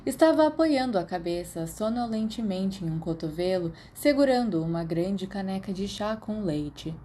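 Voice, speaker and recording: A woman's voice: mid-range voice, lively intonation, normal speed, mellow, slight background noise. A Brazilian woman reading fiction aloud as scripted audiobook narration. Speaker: podfic narrator.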